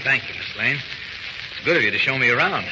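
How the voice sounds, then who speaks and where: An actor playing a courteous, quietly confident young would-be reporter in a vintage radio drama, speaking in a measured, persistent way. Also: male voice, mid-range voice, expressive, close to an everyday voice. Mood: easygoing. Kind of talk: 1940s radio drama acting. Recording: noisy, muffled, reverberant old radio recording.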